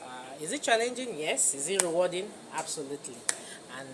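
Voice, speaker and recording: A woman's voice: sits low, sing-song, fairly quick. A woman with a Nigerian accent describes her job as an air traffic controller in an emphatic interview answer. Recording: clear chatter of other voices behind.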